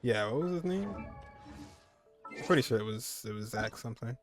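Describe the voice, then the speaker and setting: Male streamer, mid-range voice, casual game reactions, soft music in back. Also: medium pitch, animated, moderate pace. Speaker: gaming streamer. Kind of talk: casual reaction commentary over a video game.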